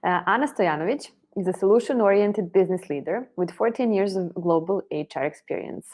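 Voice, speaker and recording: Conference host, woman, formal read-out intro, mid-pitched, video call audio. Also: fairly quick, darkish tone. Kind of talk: introducing a speaker.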